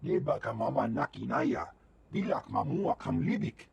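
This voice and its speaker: Game alien villager: heavy gravel, very raspy, invented alien speech. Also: male voice, medium pitch, moderate pace, lo-fi sound, full character voice. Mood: pleading, grave. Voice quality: gravelly, rasping. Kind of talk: video game voice acting.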